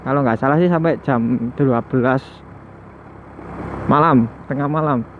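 A man's voice: medium pitch, measured pace. Man vlogging from his motorbike. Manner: chatty, muses aloud, chuckles now and then. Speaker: motovlogger. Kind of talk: casual riding commentary. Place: on a motorbike on night city streets. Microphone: headset mic worn while riding. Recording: tinny helmet mic with loud road noise.

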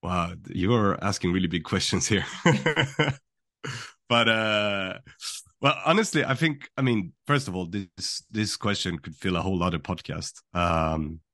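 A young man who was born in Japan and raised in Sweden speaks as a podcast guest. His voice sits very low, and the recording is dry and close with no room sound. He talks casually and reflectively, leaning on "like" and "you know". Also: expressive, a little slow, pushed and bright, dry recording. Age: young adult. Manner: thoughtful, filler-heavy, lots of "like" and "you know". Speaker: guest, finance writer. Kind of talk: casual conversational interview.

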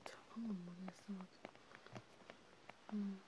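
A woman's voice: medium pitch, fairly even intonation, normal speed. Whispering woman, noisy ASMR recording. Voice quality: breathy, mostly unvoiced whisper. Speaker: ASMR creator. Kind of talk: whispered ASMR casual chat. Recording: noticeable background noise and ambience.

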